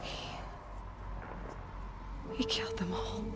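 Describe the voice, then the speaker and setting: An actress playing an earnest, determined young heroine in a video game, with deliberate, intense delivery. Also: female voice, whispered, over loud music, lightly acted. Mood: awed, sorrowful. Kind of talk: naturalistic video game acting.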